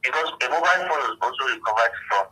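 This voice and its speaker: A man with a Nigerian accent answering interview questions as a police spokesman over a remote call, haltingly and often repeating phrases. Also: medium pitch, expressive, sharp tone, breathy edge, rough recording. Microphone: remote call to the station.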